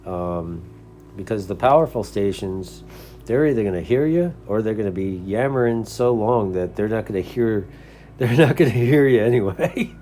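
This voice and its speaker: A man's voice: lowish voice, animated, normal speed, dark tone. An American man talking casually and off the cuff as a hobbyist host, explaining things in an earnest, conversational way. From United States. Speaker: CB radio hobbyist and video host. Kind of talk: casual unscripted talk.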